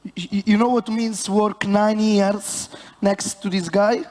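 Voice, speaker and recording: A man with an Italian accent, a bartender presenting on stage in a joking, halting way with many fillers. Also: sits high, flat delivery, a little mellow. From Italy. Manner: halting and playful, many fillers, self-mocking banter. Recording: long hall reverb.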